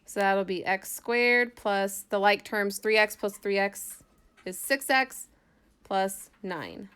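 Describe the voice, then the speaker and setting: A woman's voice: mid-range voice, takes it slowly, a bit bright, smooth. A woman math teacher giving an informal lesson, thinking aloud as she explains worked examples step by step in a casual way.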